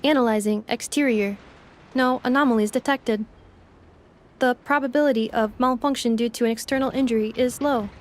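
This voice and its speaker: A woman playing a polite, analytical robot assistant in a video game, calm, precise and formal. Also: a little high, normal speed, natural voice.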